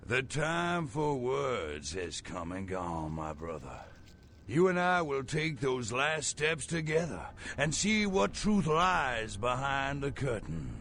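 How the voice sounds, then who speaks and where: Video game villain: fanatical vampire cult preacher, grandiose, slow and deliberate, dramatic rises and drops. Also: male voice, mid-range voice, very animated, fairly bright tone, slight background noise, full character voice. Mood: menacing, triumphant. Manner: grandiose, theatrical preacher's delivery with drawn-out words.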